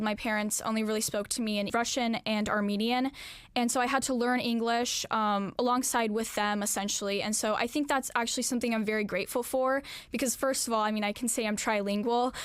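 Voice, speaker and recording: A teenage girl speaks earnestly in a news interview, her fairly high voice smooth and clear. She pauses with a lot of "um"s as she reflects. The sound is dry and close, with no room in it. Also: dry recording. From Alaska, US. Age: late teens. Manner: earnest, many "um" fillers, reflective. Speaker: interviewee, graduating student.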